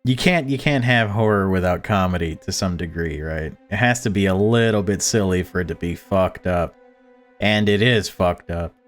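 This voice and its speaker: Guy doing a visual novel playthrough, lowish voice, reads narration and chats, music in back. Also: normal speed. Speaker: gaming video maker. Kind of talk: reading visual novel narration with casual commentary. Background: background music.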